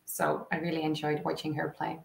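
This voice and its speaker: A young woman from Estonia, an English speaker, chatting casually and a little haltingly in an interview. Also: low voice, completely flat delivery, creaky, a little hiss or hum. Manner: informal, slightly halting, giggly. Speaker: cricket player and coach. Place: small indoor room, seated on a couch. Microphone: shared video call microphone, at some distance. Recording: roomy echo on a video call.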